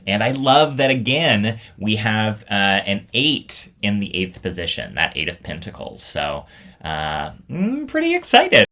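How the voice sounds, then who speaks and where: A man talking casually and reflectively as the host of a vlog, with expressive, drawn-out emphasis. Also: fairly low pitch. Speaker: storytelling show host.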